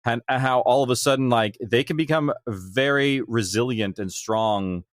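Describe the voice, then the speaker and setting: A man's voice: medium pitch, moderate pace, no room sound, studio quality. A man being interviewed as a guest, talking conversationally about his creative work. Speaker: guest, audio drama creator.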